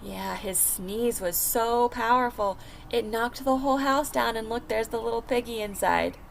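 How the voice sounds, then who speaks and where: A woman reading a picture book aloud like a teacher, pausing to ask and answer simple questions for young children. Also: a little high, vocal fry, a little hiss or hum, rough recording. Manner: simple explanatory talk, asking and answering questions.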